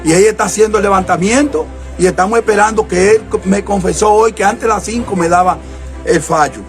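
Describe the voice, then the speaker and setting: A mayor speaks firmly in a news interview and presses his demands. His voice is high for a man. Faint music runs underneath. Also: a little fast. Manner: firm, insistent demands. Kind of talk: interview statement.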